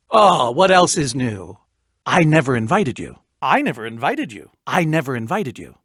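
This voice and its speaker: An actor playing an eccentric, lonely, reclusive adventurer in a video game, theatrical and wordy, with dry self-pity and wistfulness. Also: male voice, fairly high pitch, a bit bright, no room sound, heavily acted. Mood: exasperated. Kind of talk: animated video game character acting.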